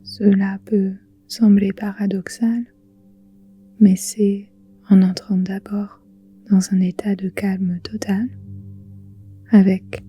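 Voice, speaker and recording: Female yoga nidra guide: hushed, breathy, very dark mellow tone, very slow delivery, soft music behind. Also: mid-range voice. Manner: soothing, unhurried, gentle pauses. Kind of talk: guided relaxation meditation. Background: soft music with singing bowls.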